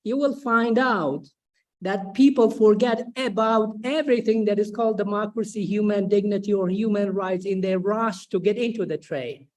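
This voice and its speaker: Emphatic, deliberate woman speaking off the cuff. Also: mid-range voice, a little mellow. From Sweden. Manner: emphatic, deliberate, repeats phrases for stress. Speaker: symposium host, social scientist. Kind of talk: spontaneous academic discussion remarks. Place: online video symposium.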